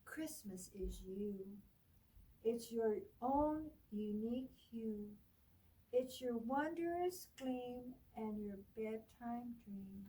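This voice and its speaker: Soft-spoken storytime librarian, slow and distant. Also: female voice, a little high, animated. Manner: gentle sing-song reading, dropping to whispers. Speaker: children's librarian. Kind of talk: children's storytime read-aloud.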